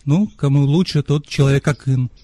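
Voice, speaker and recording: Mellow-voiced male podcast guest. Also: mid-range voice, normal speed, no room sound. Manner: friendly, expansive storytelling. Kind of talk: casual podcast conversation. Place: remote call from Moscow.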